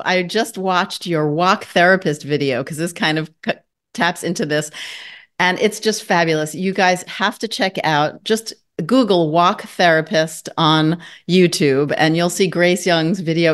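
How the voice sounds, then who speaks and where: Enthusiastic woman host, lowish voice. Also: a little slow. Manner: enthusiastic, effusive. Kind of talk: interview podcast chat.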